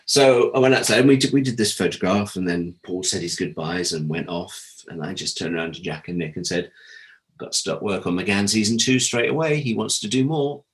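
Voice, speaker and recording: British guy, low voice, chatty interview guest spinning stories and doing the dialogue as he goes. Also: fairly quick. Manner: animated storyteller, acts out quoted dialogue. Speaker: interview guest, former audio drama producer. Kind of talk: casual anecdotal interview chat.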